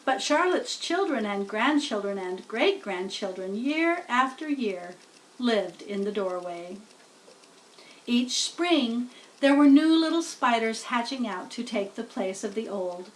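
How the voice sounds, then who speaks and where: A woman reads a children's story aloud in a high voice. Her pace is slow and measured, and she sounds a bit distant from the microphone. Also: lively intonation, a little hiss or hum. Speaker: storybook reader.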